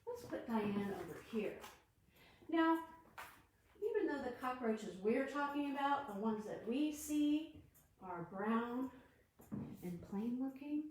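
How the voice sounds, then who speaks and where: Children's librarian, woman, high and unhurried, animated storytime delivery, very echoey room. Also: slight background noise. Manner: animated, sing-song reading to small children.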